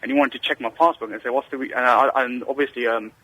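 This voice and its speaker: A man on a call-in line talks quickly, stumbling through restarts and fillers as he tells his story. His voice is bright and a little husky. The sound is thin and phone-like. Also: mid-range voice, fairly even intonation, no room sound. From Britain. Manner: rambling, hesitant, many restarts and fillers. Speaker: caller.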